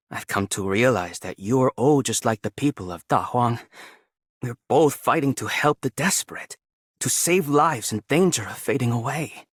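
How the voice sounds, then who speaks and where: An actor playing an earnest, respectful, idealistic young disciple in a game, with a sincere, polite delivery. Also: male voice, mid-range voice, no room sound, clean studio sound, natural voice. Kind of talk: scripted game character lines.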